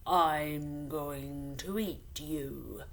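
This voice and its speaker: A woman voicing a huge, mournful shaggy monster in a storybook, with a low, booming voice and slow, blunt delivery. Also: steady tone, vocal fry, exaggerated voice. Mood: slow menace.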